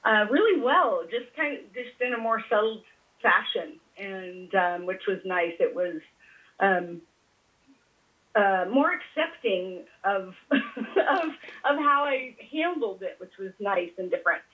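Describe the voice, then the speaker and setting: A woman speaks through a thin, narrow phone connection. She talks casually as a patient, in a halting way, often leaving thoughts hanging as she searches for words. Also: mid-range voice, animated. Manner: hesitant, halting, trailing off between thoughts. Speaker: patient on a call. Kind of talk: casual personal conversation. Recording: thin, band-limited phone call.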